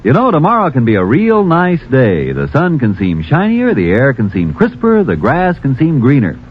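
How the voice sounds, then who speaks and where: A man's voice: mid-range voice, wildly swooping pitch, moderate pace, a little hiss or hum. An American man announcing a 1950s radio advertisement in a folksy, emphatic sales style. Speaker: commercial announcer. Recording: muffled vintage radio broadcast.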